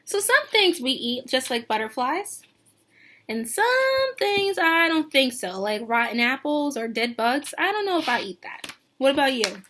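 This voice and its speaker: High-pitched, slow-paced woman teacher reading aloud. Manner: expressive, sing-song, with questions and pauses. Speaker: teacher reading a picture book aloud to young children. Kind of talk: read-aloud lesson with questions to young listeners.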